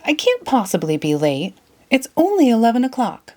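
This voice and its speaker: Storybook young girl played by a woman: high, earnest, adventurous, exclaiming with wide swoops. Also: huge pitch swings, moderate pace, darkish tone. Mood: cheerful, surprised.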